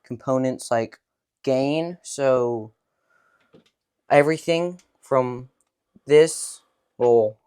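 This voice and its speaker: A man's voice: medium pitch, drawn-out words, pauses often, a little mellow, clean tone. Young man explaining radio gear. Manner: explains step by step with small restarts. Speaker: ham radio operator. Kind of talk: casual technical explanation. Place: room in a home.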